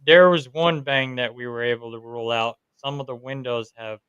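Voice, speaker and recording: A man's voice: medium pitch, fairly even intonation, normal speed, fairly bright tone, clean tone, muffled recording. A man from New York hosting a podcast, telling stories from investigations in a casual interview chat. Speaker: podcast host.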